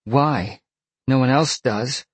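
Male narrator as distressed young shapeshifter woman, pitched well up, husky, protesting, defiant, for an audiobook. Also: takes it slowly, no room sound. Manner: pleading, protesting. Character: upset, defiant young female fox shapeshifter. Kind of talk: audiobook character acting.

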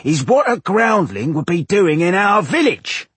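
A man voicing a belligerent, suspicious village man in an audiobook, loud, mocking and aggressive. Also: high voice, very animated, measured pace, slightly rough, no echo, voice pushed up, exaggerated voice. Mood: taunting. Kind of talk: audiobook character dialogue.

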